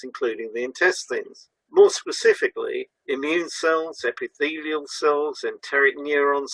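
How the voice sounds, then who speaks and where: A man delivers a scripted lesson as a co-presenter. His voice is bright and forward at a middling pitch, recorded dry and close, and his reading is measured and formal. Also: dry recording, poor audio.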